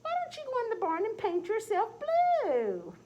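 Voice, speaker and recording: A woman voicing a fussy female farm cat who speaks slowly and judgingly in a storybook reading. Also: very high-pitched, huge pitch swings, dark tone, voice pushed up, full character voice. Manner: prissy, slow and judging.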